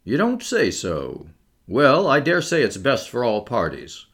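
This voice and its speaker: Big burly keeper for audiobook, male narrator acting, callous good cheer, working-class English, bouncy pitch. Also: huge pitch swings, unhurried, a bit bright, breathy edge, exaggerated voice. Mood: cheerful. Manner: blunt, colloquial.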